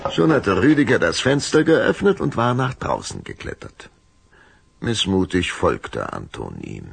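Male narrator, children's radio drama: very animated intonation, unhurried, suspenseful, husky tone. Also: low-pitched, dry recording. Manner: suspenseful, expressive. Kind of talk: dramatic scripted storytelling for a children's radio play.

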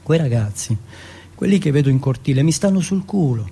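Voce maschile un po' roca, monologo teatrale. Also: male voice, fairly high pitch, animated, fairly quick, vocal fry, dark tone, slightly rough. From Turin, Italy. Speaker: monologue performer. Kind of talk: theatrical autobiographical monologue.

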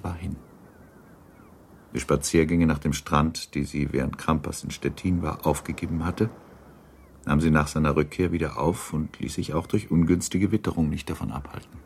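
A grave male storyteller narrates a radio drama in a measured way. His voice is deep and gravelly, with faint noise behind it. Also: a little mellow. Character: sober literary narrator of a period novel. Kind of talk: scripted narration in a radio play. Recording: clear background noise.

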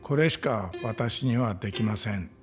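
A man's voice: fairly low pitch, fairly quick, soft background music, natural voice. A man playing a solemn, solitary temple monk in a radio drama. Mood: solemn, earnest.

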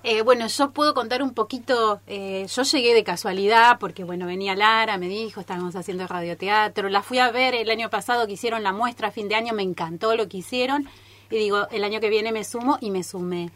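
A woman's voice: mid-range voice, a little fast, a bit bright, dry recording. Female guest, Argentine accent, mid-range voice, casual group interview, close dry studio sound. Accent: Argentine Spanish. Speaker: drama group member, guest. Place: radio studio.

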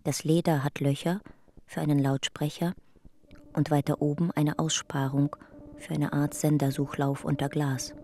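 A woman narrating a radio drama in a calm, measured reading. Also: low voice, slight background noise.

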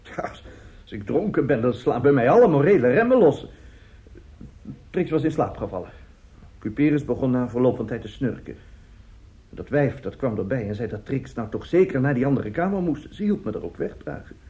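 An actor in a radio drama playing a middle-aged, self-justifying seducer and family friend, speaking haltingly and emphatically. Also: male voice, medium pitch, expressive, mellow, natural voice. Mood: uneasy confession. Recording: dull, muffled radio play recording.

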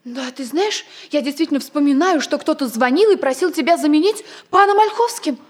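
Very high, gentle young student woman. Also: expressive, a little fast. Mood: puzzled. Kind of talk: 1960s radio comedy acting.

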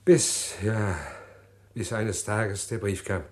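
A man's voice: fairly low pitch, steady tone, a little mellow. An actor playing a haughty, guarded murderer who tells his own story in a vintage radio play. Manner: measured, haughty, hesitant.

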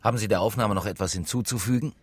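An actor playing a stern, probing police detective in a naturalistic radio crime drama, terse and understated. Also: male voice, on the low side, very fast, slightly rough.

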